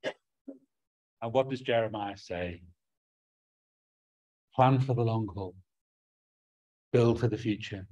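A man's voice: lowish voice, takes it slowly, pauses often, dark tone, lo-fi sound. A middle-aged man with an English accent preaching a sermon from a small room at home, earnest and prone to restarting his words.

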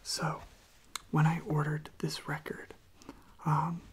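A young man speaking in a hushed, breathy, mostly whispered ASMR style, unhurried and halting, close to the microphone. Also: fairly high pitch, completely flat delivery. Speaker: ASMR video creator. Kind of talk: soft-spoken ASMR.

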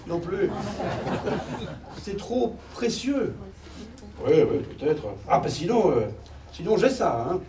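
A man's voice: high voice, big pitch swings, takes it slowly, pauses often, heavy background noise. A middle-aged man doing musical stand-up comedy on a small theater stage, chatty and self-mocking as he plays a keyboard. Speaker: comedian and pianist. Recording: echoey theater, distant.

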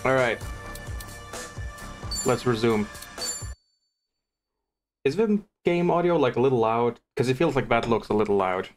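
A man's voice: mid-range voice, animated, unhurried, long pauses, soft background music. A man chatting casually as a live streamer while he comments on the game he is playing.

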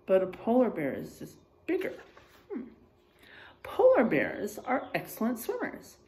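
A middle-aged woman, a kindergarten teacher reading a children's book aloud and pausing to explain things simply in a deliberate, expressive way. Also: medium pitch. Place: indoors, in a room with drywall and posters.

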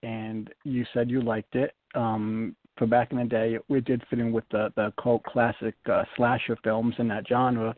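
A man's voice: mid-range voice, level tone, moderate pace, few pauses. Rhode Island man hosting on a tinny line. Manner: rambling, restarts often. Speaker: talk show host. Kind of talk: casual panel chat. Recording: dry tinny phone-line audio.

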